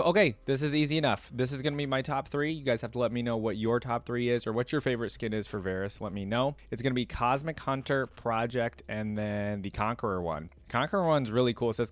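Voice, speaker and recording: Man, mid-pitched voice, dry close recording, casual reaction commentary, slangy, thinking aloud. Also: no room sound. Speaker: reaction video creator.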